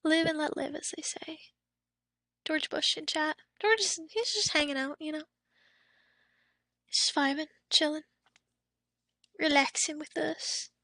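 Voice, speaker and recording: A young woman streamer talks loosely with her chat, high-pitched and bright, sometimes slipping into breathy half-whispers. Her voice is a little worn, as if she has been losing it for days. The sound is close and dry, with no room around it. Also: fairly even intonation, a little slow, pauses often, no echo. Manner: chatty, reads out chat, trails into soft whispery asides. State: losing her voice, throat a bit off. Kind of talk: casual chat with live stream viewers.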